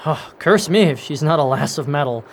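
An actor playing a lecherous, ruthless pirate captain in a radio drama, blustering in theatrical old seafaring talk. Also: male voice, on the high side, animated, moderate pace. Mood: lusty, boastful.

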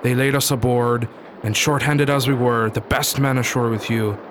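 A man's voice: mid-range voice, steady tone, normal speed, lightly acted. A man playing a downcast, earnest sailor pressed into piracy in a radio drama, solemn and archaic in speech. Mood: sombre, explaining. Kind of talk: period pirate radio drama acting. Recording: noisy recording with clear background noise.

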